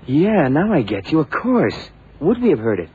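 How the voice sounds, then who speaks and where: An actor playing an eager, deferential police sidekick in an old radio drama, earnest and a little hesitant. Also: male voice, a little high, wildly swooping pitch, moderate pace. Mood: catching on. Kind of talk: 1960s-70s radio drama acting. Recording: muffled, noisy old radio recording.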